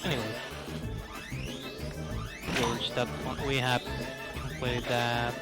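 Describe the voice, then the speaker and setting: A man commentates live on his video game run in a casual, playful way. His English phrasing is non-native, and he slips in little asides to the viewers. Loud game music plays behind his voice. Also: mid-range voice, little pitch movement, takes it slowly. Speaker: speedrunner commentating own run.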